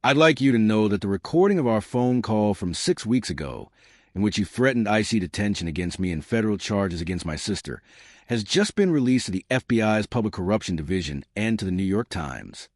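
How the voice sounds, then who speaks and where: A man's voice: on the low side, expressive, dry recording, studio quality. A man voicing a steely woman accusing her abuser. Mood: resolute. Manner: measured, accusing, deliberate delivery.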